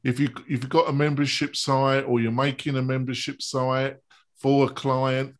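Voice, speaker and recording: A man's voice: mid-range voice, level tone, normal speed. A man hosting a live web panel show, chatty and promotional as he goes to a break. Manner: chatty, plugs a webinar with food metaphors. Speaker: show host.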